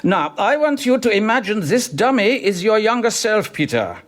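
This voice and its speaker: An actor playing a patronising, self-important psychiatrist with a German accent in a radio sitcom. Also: male voice, high voice, very animated, normal speed, no room sound, studio quality.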